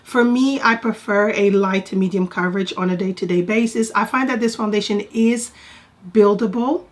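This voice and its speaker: A woman with a stuffy, congested voice from a cold talks through a beauty product review. Her first language is Portuguese, and she chats in a rambling, friendly way, doubling words for emphasis. Her pitch sits right in the middle for a woman. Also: moderate pace. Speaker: beauty vlogger. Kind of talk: casual product review to camera.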